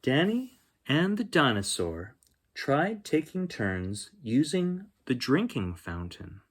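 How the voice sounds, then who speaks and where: Slow, animated man reading bedtime stories. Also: mid-range voice, breathy edge. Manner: expressive, addresses young listeners directly. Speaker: children's story reader and host. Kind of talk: bedtime picture book read-aloud with chatty asides.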